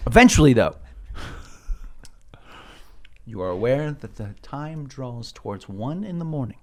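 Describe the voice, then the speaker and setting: A man's voice: mid-range voice, lively intonation, normal speed. A man running a tabletop role-playing game, narrating informally with jokes and asides. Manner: jokey, informal, acts out sound effects. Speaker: game master.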